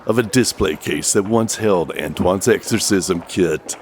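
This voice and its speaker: A man's voice: low voice, unhurried, very noisy. A man reading scripted scene narration as the narrator of an audio drama.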